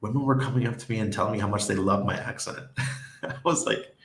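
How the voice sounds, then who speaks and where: A man's voice: lowish voice, fast, a bit bright, slight background noise. A man in his forties with a Western New York accent, casually chatting on a livestream, chuckling often and reading viewer comments aloud. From Western New York, USA. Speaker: livestream host. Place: small home room with neon shelf lights. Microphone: desk mic on a stand beside him. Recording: echoey room.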